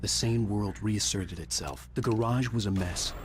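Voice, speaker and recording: An actor playing a brooding, haunted writer, narrating a thriller game in a measured, somber way. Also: male voice, on the low side, noisy background, close to an everyday voice. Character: brooding haunted male writer narrating his own nightmare. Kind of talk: scripted first-person narration.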